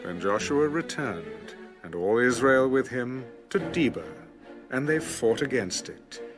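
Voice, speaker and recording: A man reading scripture as a scripted audio narration, in a measured, solemn storytelling manner. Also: medium pitch, expressive, over music.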